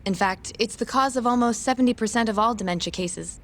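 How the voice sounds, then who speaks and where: An actress playing a polite, precise female hospital staff worker who explains things in a calm, informative way, in a game scene with faint music behind. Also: a little high, a little fast, slight background noise. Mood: explaining. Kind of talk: game dub acting.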